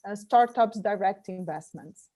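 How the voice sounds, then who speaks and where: Woman, middle-aged, Portuguese speaker, soft dark tone, interview guest, explaining business. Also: mid-range voice, measured pace. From Brazil. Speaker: guest, corporate venture investor. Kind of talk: interview answers about career and business.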